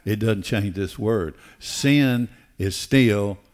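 Older preacher, low-pitched voice. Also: male voice, slow. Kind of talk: sermon. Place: church auditorium stage. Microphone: headset.

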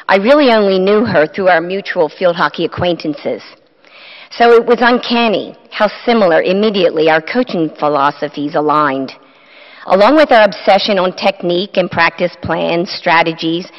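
Woman coach at a chapel podium. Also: fairly low pitch. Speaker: field hockey coach introducing a speaker. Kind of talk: prepared introduction at a school chapel. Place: large school chapel. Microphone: podium microphone. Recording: dull audio in a reverberant chapel.

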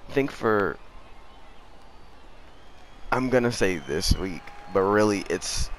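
Teen male gamer, low voice, a little raspy from being sick, rambling slangy chat, noisy home mic. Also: animated, takes it slowly. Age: teens. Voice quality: slightly raspy. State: recovering from illness. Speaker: gaming YouTuber. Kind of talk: casual gameplay commentary.

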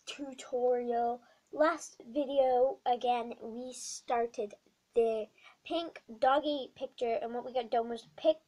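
A young girl with a bad cold and a croaky voice, chatting through a craft tutorial vlog in a small bedroom, rambling with many ums. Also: high-pitched, warm tone. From not American. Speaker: craft vlogger. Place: small dim bedroom indoors.

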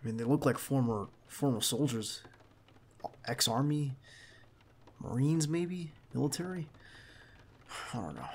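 A man playing a protective, reassuring bodyguard in an intimate ASMR roleplay, speaking in a hushed, halting, gravelly voice close to the listener. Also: mid-range voice, expressive, slight background noise. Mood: uneasy, conversational. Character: protective young male bodyguard, calm and reassuring.

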